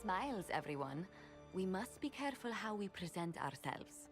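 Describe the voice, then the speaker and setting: A woman's voice: mid-range voice, very animated, normal speed, natural voice. A woman voicing a poised, careful diplomatic adviser in a video game, composed, formal and precise. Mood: composed, cautioning. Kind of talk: video game cutscene acting. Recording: loud background music.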